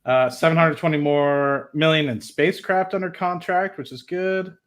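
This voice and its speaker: A man's voice: on the high side, moderate pace. A man hosting a livestream, talking informally and thinking aloud as he reads through documents and comments on them. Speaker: host of an investing livestream. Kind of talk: casual live commentary while reading documents.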